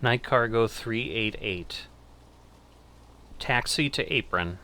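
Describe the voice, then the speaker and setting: A middle-aged man giving casual gameplay commentary and controller commands, thinking aloud in an unhurried way. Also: medium pitch, sharp tone. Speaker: gaming channel host playing air traffic controller.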